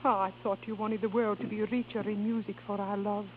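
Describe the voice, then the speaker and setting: An actress playing a glamorous, teasing opera singer and estranged wife in a theatrical 1930s radio style. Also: female voice, mid-range voice, level tone, a little fast, lightly acted. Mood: wounded, reproachful. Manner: theatrical, coquettish. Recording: scratchy, tinny 1930s radio recording.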